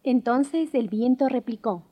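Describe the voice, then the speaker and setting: A woman's voice: fairly high pitch, measured pace, warm tone. A woman giving a scripted storytelling narration of a traditional legend as the narrator of a radio drama. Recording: faint background noise.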